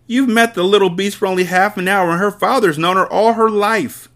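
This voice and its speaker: A man reading aloud and voicing a huge, bullying headmistress who barks and shouts with contempt. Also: sits high, fairly even intonation, a little fast, pitched above the performer's own voice. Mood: bellowing scornful. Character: bullying hulking female headmistress, furious.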